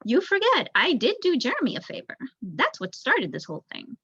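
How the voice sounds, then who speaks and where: A young woman voicing a worried, kindly mother field mouse in a storybook, gentle and motherly, on a dull recording. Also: high-pitched, wildly swooping pitch, moderate pace, husky, no echo, pitched above the performer's own voice, full character voice. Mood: reassuring, motherly.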